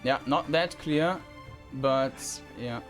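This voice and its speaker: A man giving casual commentary on a game preview, with halting, hesitant phrasing. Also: medium pitch, over music.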